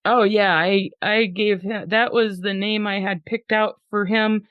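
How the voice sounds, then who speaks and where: A woman's voice: medium pitch, fairly even intonation, unhurried, clean tone, no room sound, phone-quality sound. A woman hosting a podcast in an upbeat, chatty way, laughing easily and also reading a poem aloud with drama. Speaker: podcast host.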